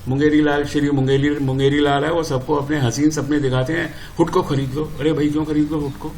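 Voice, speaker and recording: Indian male educator, slightly high voice, exasperated and opinionated explainer, informal, faint background noise. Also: level tone, fairly quick, darkish tone. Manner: exasperated, opinionated, uses rhetorical questions and repetition. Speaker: stock market educator. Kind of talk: informal explanatory commentary with Hindi-English code-switching.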